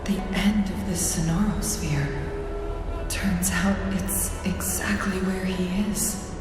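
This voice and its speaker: A woman playing a composed, thoughtful disciple in a video game, speaking calmly and contemplatively. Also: fairly low pitch, slow. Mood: contemplative, assured. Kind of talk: dramatic video game voice acting. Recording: very echoey reverb over music.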